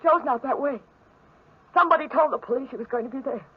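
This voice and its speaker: A woman's voice: high-pitched, fast, husky. An actress playing an anxious, pleading sister in a 1940s radio melodrama, her voice tearful and desperate. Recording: dull, lo-fi old radio recording.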